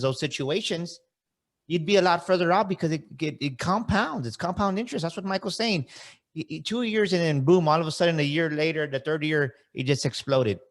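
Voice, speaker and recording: Podcast host, man in his 40s, fervent and repetitive, slightly high voice. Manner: fervent, repeats phrases for emphasis. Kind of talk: motivational interview talk.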